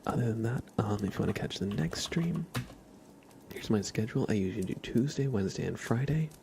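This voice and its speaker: A man chatting softly as an ASMR livestream host, hushed and often slipping toward a whisper. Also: medium pitch, expressive, brisk, creaky, background noise. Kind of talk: soft-spoken ASMR livestream chat.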